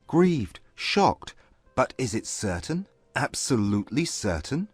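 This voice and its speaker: Actor as grave gentleman, richly inflected, close-sounding. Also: mid-range voice, very animated, slow, husky, no echo, faint music behind, full character voice. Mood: shocked, grieved. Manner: formal, earnest, reserved. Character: grave, restrained, compassionate young gentleman. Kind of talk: dramatized audiobook period dialogue.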